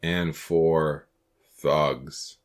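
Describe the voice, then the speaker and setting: A woman's voice: extremely low pitch, fairly even intonation, voice pushed down, heavily acted. Woman doing a dazed, mumbling injured boy. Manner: slow, thick mumbling.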